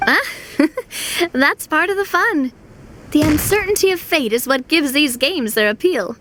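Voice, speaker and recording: A woman's voice: sits high, lively intonation, unhurried, fairly bright tone, a little hiss or hum. A woman playing a cheerful, spirited young noblewoman in a video game. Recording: music behind the voice.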